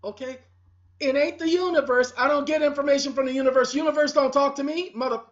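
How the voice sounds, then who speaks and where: A woman's voice: sits high, steady tone, fast. A woman hosting her own videos, lecturing her viewers in a preachy, scolding and repetitive monologue. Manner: preachy, scolding, repetitive, talks straight to the audience. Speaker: online video host. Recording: dull lo-fi recording.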